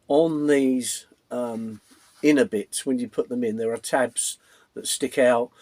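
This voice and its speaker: A man hosting a model-making channel, his voice croaky from a cold, walking through his build step by step in a casual way with plenty of ums. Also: medium pitch, darkish tone, dry recording. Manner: unhurried, many ums, explains while showing parts.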